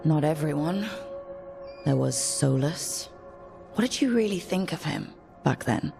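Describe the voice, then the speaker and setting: A woman's voice: low voice, animated, measured pace, vocal fry, over music, exaggerated voice. A woman playing a curious, wry adventurer and leader in a fantasy video game, with a dry conversational manner. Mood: probing. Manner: dry, inquisitive.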